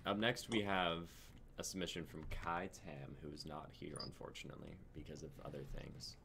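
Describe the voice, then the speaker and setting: A man's voice: a bass voice, fairly even intonation, moderate pace, gravelly, bright, forceful tone. A man in his twenties hosting casually on a video call with noisy audio. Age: 20s. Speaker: host of a script-reading stream.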